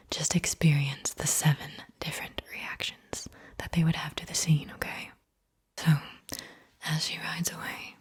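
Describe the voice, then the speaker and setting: A woman speaks in a steady, breathy whisper, pitched on the low side. She hosts in an ASMR style, guiding the listener through a visualization with gentle instructions. She addresses the listener directly and often checks in with small soft questions.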